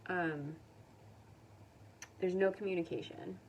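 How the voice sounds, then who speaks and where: A woman with a slightly low voice speaks as a tarot reader addressing her viewers. Her delivery is unhurried, gentle and consoling, with informal, chatty phrasing. She sounds somewhat distant from the microphone. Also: steady tone, highs cut off. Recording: distant mic, faint background noise.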